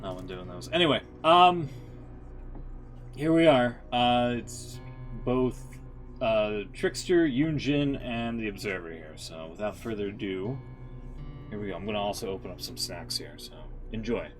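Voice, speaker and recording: A man with a fairly low voice gives casual streamer commentary. He is informal and unscripted, rambling as he thinks aloud. Faint music sits behind him. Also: lively intonation, a little slow. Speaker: streamer reacting to game story readings.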